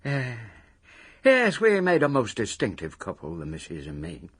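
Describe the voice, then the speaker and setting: Radio play: wistful, obsessive little retired packer, heavily husky, very animated delivery, grand phrases in rough grammar. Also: male voice, medium pitch, moderate pace. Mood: proud, wistful. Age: older. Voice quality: raspy, husky. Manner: wordy, self-important, working-class grammar with grand phrases. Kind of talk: radio drama monologue.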